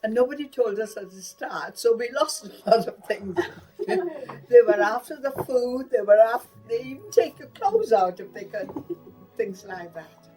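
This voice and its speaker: A woman's voice: a little high, sing-song, normal speed, mellow, faint music behind, low-quality recording. An older woman from Burma giving a personal reminiscence in a plain-spoken, emphatic way. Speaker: interviewee recalling wartime life.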